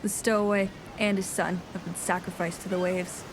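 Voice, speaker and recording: A woman's voice: fairly low pitch, flat delivery, normal speed, background noise, natural voice. A woman playing a grave, haunted nineteenth-century sea captain reading a log in solemn, formal diction for an audio drama. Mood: grave, uneasy. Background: music.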